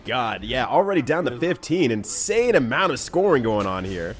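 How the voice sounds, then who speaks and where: A man's voice: mid-range voice, sing-song, moderate pace, crowd noise. A man co-commentating a group let's play, joking and laughing often. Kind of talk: casual gameplay chat.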